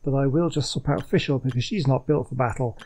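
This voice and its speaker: A man giving casual gameplay commentary, thinking out loud and questioning himself as he goes. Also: mid-range voice, fairly quick. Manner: muses aloud, questioning himself. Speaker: gaming video host. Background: faint game music.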